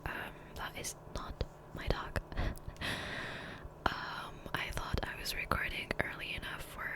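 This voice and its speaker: A woman whispering softly and breathily in a chatty, rambling ASMR style over gameplay, close to a condenser microphone. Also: a little slow. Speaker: gaming ASMR creator. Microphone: close USB condenser microphone.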